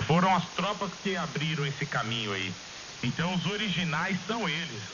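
A man's voice: on the high side, sing-song, a little fast. A Brazilian man chatting casually over ham radio in a colloquial storytelling way, often adding little tag questions. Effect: shortwave ham radio transmission. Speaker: amateur radio operator in a group contact. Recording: dull shortwave radio with loud hiss.

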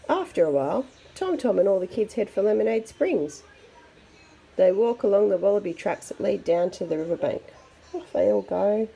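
A woman reading a picture book aloud to young children in an expressive, chatty way. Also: medium pitch, moderate pace, vocal fry, pauses often, husky, rough recording. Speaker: storybook reader for children.

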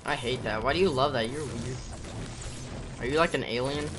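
Casting: young guy gamer, mid pitch, crude mocking banter, static-laced party chat mic. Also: moderate pace. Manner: crude teasing banter, swears. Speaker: gamer streaming with friends. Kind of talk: casual gaming chat over party voice.

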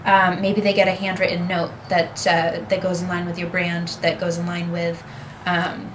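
Young woman, workshop presenter, low-pitched, flat delivery, steady explaining, small conference room. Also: moderate pace, background noise. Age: young adult. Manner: explains steadily, gesturing with her hands. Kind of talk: seminar talk.

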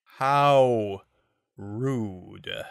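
Man doing a slow, slurring drunken panther. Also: lowish voice, vocal fry, clean tone, no echo, clean studio sound, full character voice. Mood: mock offended. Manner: drawling, slurred, mock-courtly.

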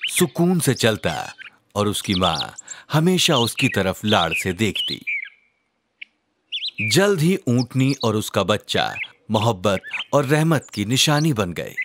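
A man narrating a scripted children's religious story in a measured, dramatic storyteller delivery. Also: medium pitch, noisy background.

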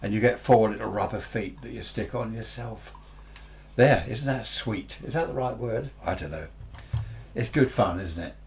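A man's voice: fairly low pitch, normal speed, gravelly, a little mellow. An older man in his sixties or beyond with an English accent, chatting casually and musing aloud as he reviews gadgets unscripted. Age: 60s or older. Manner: rambling, chatty, muses aloud to himself. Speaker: radio hobbyist presenter. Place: small cluttered indoor radio workshop. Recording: noticeable background noise with hum.

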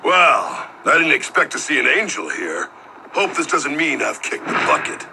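An actor playing a gruff, wisecracking tough guy with a gravelly voice. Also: mid-range voice, very animated, normal speed, noisy background. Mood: sardonic.

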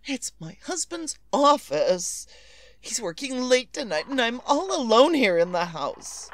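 An actress playing an anxious, querulous invalid wife in an old-time radio suspense drama, plaintive and insistent. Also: female voice, fairly high pitch, sing-song, a little slow. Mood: fretful, complaining.

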